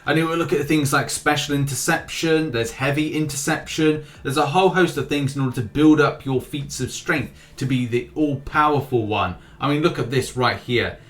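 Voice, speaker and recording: A man's voice: a little high, normal speed, hardly any pauses. A man hosting a gaming news channel, giving casual, informal and enthusiastic commentary on game updates.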